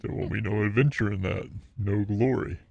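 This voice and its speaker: A man voicing a solemn warrior who seeks glory, in terse, halting declarations, on a muffled lo-fi recording. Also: on the low side, creaky, darkish tone, a little hiss or hum. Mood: grave. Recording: dull, muffled lo-fi voice channel.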